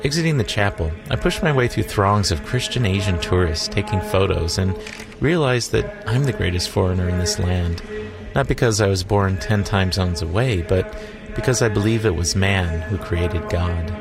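A man's voice: deep voice, animated, normal speed. A man narrating a travel documentary in a dry, wry, reflective way. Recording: voice-over with music behind.

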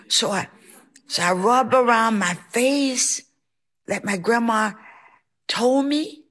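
A woman's voice: a little high, slow, a bit bright. A woman telling a personal story in a theatrical, emphatic, folksy way, acting out what people said. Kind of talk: live personal storytelling on stage.

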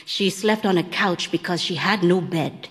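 Woman reading a novel in first person, lowish voice, calm and measured, lots of reverb. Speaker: audiobook narrator. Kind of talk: first-person literary narration. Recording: long reverb on the voice.

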